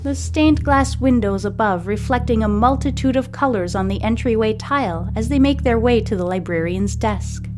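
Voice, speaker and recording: A woman's voice: a little high, normal speed, few pauses. A woman hosting and narrating a serialized story podcast, reading in storybook style. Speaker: host and narrator. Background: music.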